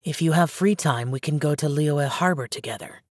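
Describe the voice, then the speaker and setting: Game role: aloof young male immortal, low-pitched and husky, terse and solemn. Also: moderate pace, a little mellow, dry recording, clean studio sound. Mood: stoic. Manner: terse, reserved, solemn, formal phrasing. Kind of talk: English video game character dialogue.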